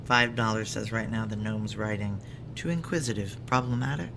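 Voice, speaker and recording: A woman playing a gruff, world-weary ex-Ranger security chief in an audio drama, brusque, wry and commanding. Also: extremely low pitch, moderate pace, noisy background. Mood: wry, probing. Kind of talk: naturalistic audio drama acting with first-person narration.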